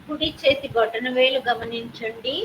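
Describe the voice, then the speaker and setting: A woman with a Telugu accent guides a meditation in a fairly high, flat voice. She speaks in measured, separated phrases, like a yoga nidra instructor taking a listener through the body. There is clear noise behind her voice. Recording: clearly noisy background.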